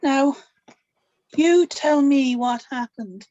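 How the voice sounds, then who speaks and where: A woman playing an older grandmother, gentle but firm and secretive, in a scripted table read. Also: sits high, slow, lo-fi sound. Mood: firm, guarded.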